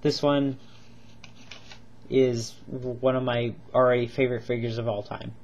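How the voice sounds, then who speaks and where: A man's voice: mid-range voice, level tone, unhurried, darkish tone. A man with a cold reviewing toy figures in a casual home video, rambling and enthusiastic with lots of ums and repeats. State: stuffed up with a cold, coughing.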